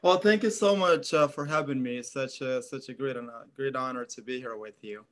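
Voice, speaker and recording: A man's voice: medium pitch, fairly quick, fairly bright tone. A man, answering interview questions earnestly with many fillers and repeats. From Afghanistan. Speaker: interview guest, author.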